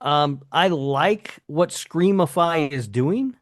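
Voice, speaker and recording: A man's voice: on the high side, lively intonation, slow pace, no echo, clean studio sound. A man hosting a podcast, chatting casually and announcing things in a hesitant, sweary way. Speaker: podcast host presenting awards.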